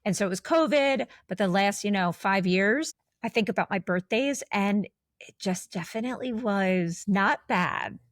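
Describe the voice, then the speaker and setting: A woman's voice: medium pitch, moderate pace, no echo, studio quality. A woman in her forties co-hosting a podcast, talking candidly in a rambling, casual way with many restarts. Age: 40s. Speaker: podcast co-host.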